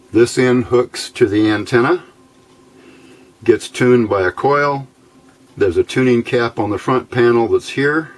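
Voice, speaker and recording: A man with a fairly low voice walks through a hands-on hobby build. His delivery is informal and plainspoken. He thinks aloud in a folksy way, like an electronics tinkerer showing his work. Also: slight background noise.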